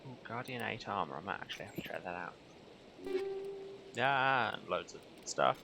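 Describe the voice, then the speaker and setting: Deadpan man commentating on a game, lively pitch. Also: moderate pace, creaky. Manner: dry, deadpan sarcasm. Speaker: video game streamer. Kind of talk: gameplay commentary. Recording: game music behind the voice. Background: game music and vehicle sounds.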